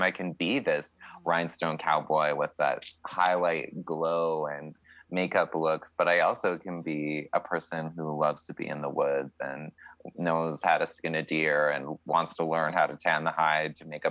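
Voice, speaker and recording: A man's voice: a bass voice, flat delivery, moderate pace, a bit bright, no room sound. A young man from Canada, a country singer, answering interview questions in a chatty, candid way with many fillers.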